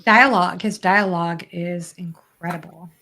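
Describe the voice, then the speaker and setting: A woman's voice: on the low side, fairly even intonation, moderate pace, pushed and bright. A woman chatting casually and expressively about books. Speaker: book discussion participant.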